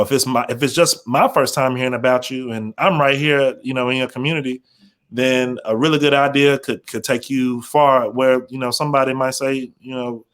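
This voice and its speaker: A man speaking as a panelist and radio program manager, giving conversational advice in a thoughtful, halting way. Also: medium pitch.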